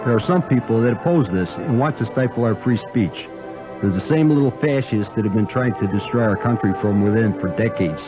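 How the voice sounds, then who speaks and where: A man's voice: on the low side, brisk. A man reading an opinionated radio advertisement as an author promoting his own book. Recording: dull radio spot over music.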